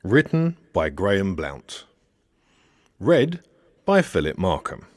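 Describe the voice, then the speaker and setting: A man with a fairly low voice narrates a satirical audiobook. His intonation moves widely, with measured storytelling and expressive emphasis. The recording is close and dry, with hardly any room sound. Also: no echo. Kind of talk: scripted narration of a satirical novel.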